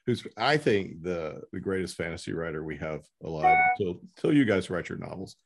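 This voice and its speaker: A middle-aged man hosting a casual interview as an editor, talking in a rambling, halting way. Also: low-pitched, creaky. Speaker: podcast host, book editor.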